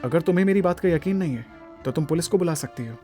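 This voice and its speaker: A man's voice: fairly high pitch, lively intonation, fast talker, warm tone, faint music behind, lightly acted. A man acting an earnest, wronged young husband in an audio drama. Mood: earnest, insistent.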